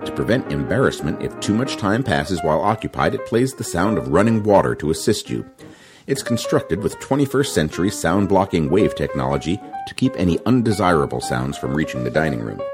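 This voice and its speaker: Man playing a very deep-voiced big plumbing pitchman. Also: very animated, a little fast, over music. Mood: deadpan, salesy. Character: big, folksy male plumbing supply pitchman. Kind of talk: spoof radio advertisement.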